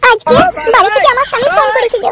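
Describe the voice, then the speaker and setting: A woman's voice: very high voice, racing, over a noisy crowd. A woman voicing a flirty, coaxing cartoon mother-in-law in love, with a coy, exaggerated manner. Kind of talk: comic cartoon dialogue.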